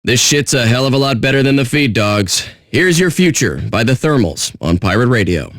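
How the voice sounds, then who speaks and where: An actor playing a jaded pirate radio DJ with a sardonic, blunt, profane way of talking. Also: male voice, medium pitch, big pitch swings, normal speed, a bit bright, no room sound, studio quality. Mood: scornful. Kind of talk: irreverent radio DJ patter.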